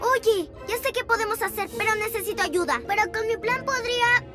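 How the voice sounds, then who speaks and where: A woman voicing an eager, anxious little child superhero in a cartoon dub, earnest and excitable. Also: very high-pitched, fairly quick, loud music behind the voice. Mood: eager, hesitant.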